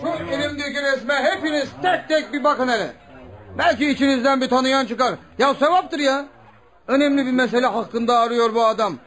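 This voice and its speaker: An actor playing a talkative, helpful village coffeehouse owner in a radio drama. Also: male voice, very high-pitched, a little fast, a bit bright, poor audio. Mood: eager, bossy. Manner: folksy, exclamatory, full of interjections.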